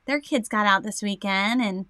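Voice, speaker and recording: A woman with a high-pitched voice co-hosting a casual local morning show, bubbly and giggly with many fillers. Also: moderate pace, sharp tone, no echo.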